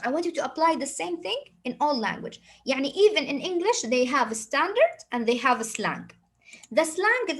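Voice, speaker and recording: High-voiced Saudi woman teaching a class. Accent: Saudi Arabic. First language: Arabic. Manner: repeats phrases, asks rhetorical questions, switches to Arabic. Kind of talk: classroom lesson explanation.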